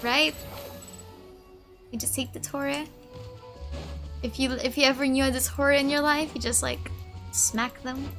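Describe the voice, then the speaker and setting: A woman's voice: high voice, fairly even intonation, unhurried, pauses often, a bit bright, soft background music. A woman streaming a game, chatting casually, musing and reacting aloud as she plays.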